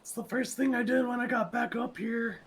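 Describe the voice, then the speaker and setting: A man's voice: very high-pitched, steady tone, normal speed, creaky, husky, full character voice. A man playing a nervous, easily spooked guy in an improvised online roleplay, sighing anxiously as he talks. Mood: resigned.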